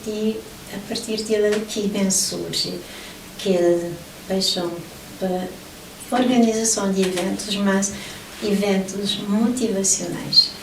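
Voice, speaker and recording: A woman's voice: mid-range voice, fairly even intonation, slow. A middle-aged woman from Portugal telling her life story as an interview guest. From Portugal (Lisbon). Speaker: interview guest, motivational event organizer. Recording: roomy, distant mic, faint background noise.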